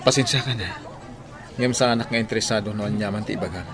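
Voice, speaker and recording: A man's voice: lowish voice, quick pace, a crowd in the background, background noise, lightly acted. A man with a Filipino accent playing a loyal, upright young family man in an audio drama. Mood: firm, rebuffing.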